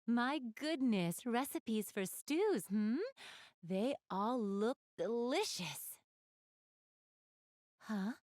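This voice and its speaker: A woman's voice: fairly high pitch, lively intonation, very slow, pauses often, darkish tone, dry recording, clean studio sound. A woman playing a gracious, refined young goddess in a video game, speaking to the player in polite, elegant, formal phrasing. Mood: cheerful, amused.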